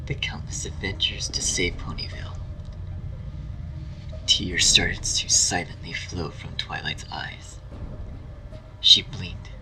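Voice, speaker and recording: A man narrating a scripted horror story in a hushed, breathy voice. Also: low-pitched, low-quality recording. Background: music.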